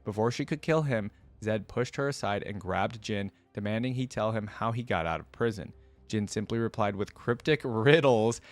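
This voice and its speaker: A man's voice: mid-range voice, no room sound. A man hosting a reaction video, reading aloud and adding casual, informal commentary as he thinks aloud.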